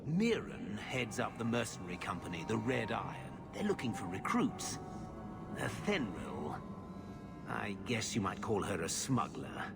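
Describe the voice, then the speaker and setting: An actor playing a shady, middle-aged uncle in a video game, blunt and world-weary. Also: male voice, on the low side, big pitch swings, unhurried, gravelly, loud music behind the voice, heavily acted. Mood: grudging, persuasive. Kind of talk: video game cutscene acting.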